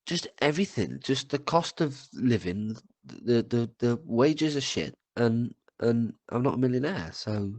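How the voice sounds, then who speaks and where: A man's voice: mid-range voice, expressive, a little slow, hardly any pauses, a little mellow, dry recording. A man with a cold, co-hosting a podcast in casual chat, swearing and tripping over his words. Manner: rambling, swears, stumbles and repeats words. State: has a cold. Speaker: podcast co-host.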